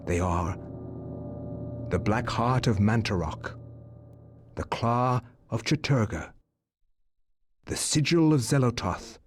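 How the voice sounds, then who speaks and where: A man playing a grave, weary old grandfather narrating a letter in a video game, solemn and measured. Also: on the low side, darkish tone. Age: older.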